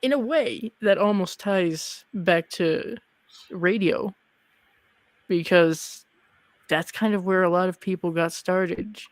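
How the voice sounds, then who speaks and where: A young adult host chats casually through a livestream interview. The voice sits at a middle pitch and sounds close and dry. Short agreeing interjections pepper the talk. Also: slow pace, dry recording.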